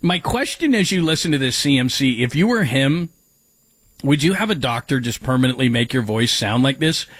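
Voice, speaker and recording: A man's voice: mid-range voice, animated, normal speed, a bit bright, husky, no echo, clean studio sound. A man hosting a sports radio show, talking casually and rambling, joking with his co-host and laughing at his own bits.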